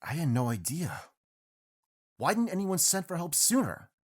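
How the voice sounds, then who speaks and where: An actor playing an earnest, battle-weary masked hero in an audio drama, with a husky voice. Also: male voice, on the high side, big pitch swings, moderate pace. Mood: puzzled, troubled.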